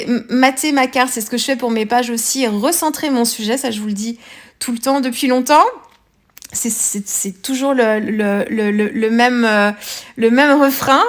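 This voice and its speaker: French woman, fairly high voice, relaxed halting tutorial talk for a craft vlog. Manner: hesitant, many fillers and restarts. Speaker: scrapbooking vlogger. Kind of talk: casual craft tutorial narration.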